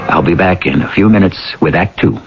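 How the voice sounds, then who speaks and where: A man hosting a 1980s radio drama, narrating in a measured, musing, theatrical way. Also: low-pitched, background noise. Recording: dull old radio recording, loud music.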